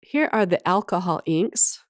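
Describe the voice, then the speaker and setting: Female craft-tutorial host, lowish voice slightly off from a cold, casual and enthusiastic, very dry voice-over sound. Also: expressive, measured pace, dry recording.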